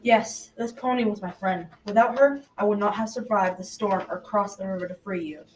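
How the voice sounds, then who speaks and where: A woman's voice: mid-range voice, normal speed, natural voice. A girl as an earnest young hero, echoey. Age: child about 12 to 13. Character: brave young lad, loyal fairy-tale hero. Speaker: student actor in a school radio drama. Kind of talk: amateur radio drama acting. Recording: echoey room, distant mic, clear noise.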